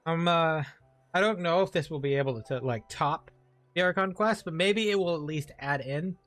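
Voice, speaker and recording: A man's voice: on the high side, moderate pace, fairly bright tone, faint music behind. A man giving casual let's play commentary, thinking aloud in a hesitant, rambling way. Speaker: game streamer.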